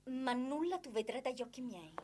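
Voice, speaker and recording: A woman's voice: sits high, big pitch swings, a little fast, mellow, slight background noise. A woman playing a coy, guarded young noblewoman in love, in a theatrical radio drama. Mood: coy, confiding.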